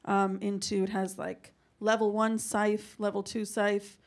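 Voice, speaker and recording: A woman's voice: medium pitch, level tone, unhurried. A woman teacher in a workshop audience asking a hesitant question, heard from a distance. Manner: hesitant, many fillers.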